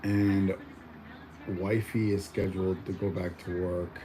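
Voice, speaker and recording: A man's voice: deep voice, level tone, slow, noisy background. A young man born in Mexico chatting casually at home, rambling with lots of fillers and restarts. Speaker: vlogger. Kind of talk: casual chat.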